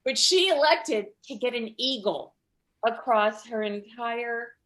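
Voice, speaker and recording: A middle-aged woman telling stand-up stories in a conversational way, with dramatic pauses. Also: fairly high pitch, animated, fairly bright tone, low-quality recording. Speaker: stand-up comedian. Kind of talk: stand-up comedy storytelling. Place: online video event.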